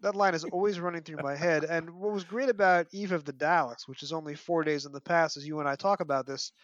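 A man's voice: on the high side, quick pace, a bit bright, no echo, highs cut off. A man hosting a casual fan discussion, speaking in an emphatic, opinionated way. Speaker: podcast host.

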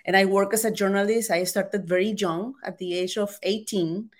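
Casting: Salvadoran-accented woman, Spanish native, hesitant interview guest, mid pitch. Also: steady tone. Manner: halting, many restarts and fillers. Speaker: author and journalist guest.

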